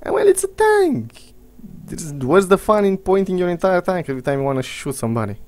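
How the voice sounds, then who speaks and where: A man's voice: high-pitched, very animated, fairly quick, darkish tone. A young man from Romania with a Romanian accent, giving casual, rambling gameplay commentary in English, blunt and sarcastic. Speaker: gaming commentator.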